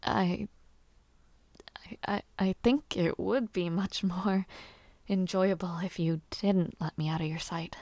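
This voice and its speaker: A woman playing a bashful, playful young noble lady who aspires to knighthood in an audio roleplay, with halting, giggly speech and formal wording. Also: on the low side, fairly even intonation, darkish tone, no room sound. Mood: shy, fond. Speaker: roleplay voice actor. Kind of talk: intimate scripted audio roleplay.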